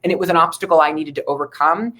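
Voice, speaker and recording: A woman from Ohio with an American accent, answering interview questions in an earnest, measured, conversational way as a local politician. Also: low voice, fairly even intonation, a bit bright. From Ohio, United States. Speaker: city council member, interview guest.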